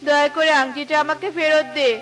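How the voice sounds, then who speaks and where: A woman's voice: sits high, little pitch movement, brisk, a bit bright, distant mic. A woman voicing a sly, greedy male bird in a fairy-tale cartoon, with a wheedling, scheming manner.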